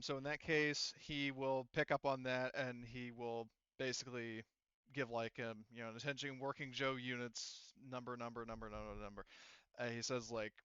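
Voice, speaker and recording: A man leads a tabletop roleplaying session as game master. His voice is smooth and clean at a middling pitch, and he talks in a meandering, explanatory way. The sound is dry and somewhat muffled. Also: sharp tone, no room sound.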